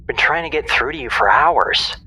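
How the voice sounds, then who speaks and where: A man's voice: a little high, animated, normal speed, crowd noise, natural voice, poor audio. A man playing an anxious, chatty shuttle crewmate in an audio drama, talking in an informal, fretful way. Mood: relieved, puzzled.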